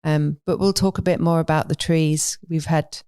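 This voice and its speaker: A woman from London with a London English accent, talking thoughtfully and in a measured way as an interview guest. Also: deep voice, fairly even intonation, mellow, clean tone, no echo, studio quality. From London, England. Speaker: podcast guest, animal sanctuary owner. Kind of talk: reflective interview conversation.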